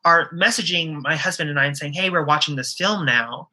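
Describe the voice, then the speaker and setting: A woman's voice: deep voice, brisk, sharp tone. A woman guest on a podcast interview, speaking earnestly with many um fillers and restarts.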